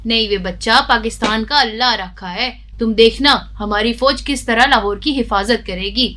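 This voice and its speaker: A woman's voice: on the high side, moderate pace, pushed and bright, a little hiss or hum. A woman playing a devout, reassuring neighbourhood auntie in a radio drama. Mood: confident, reassuring.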